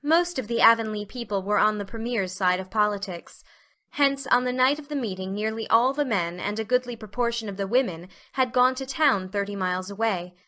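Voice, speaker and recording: A woman's voice: mid-range voice, brisk, sharp tone. A woman reading scripted narration for an audiobook story.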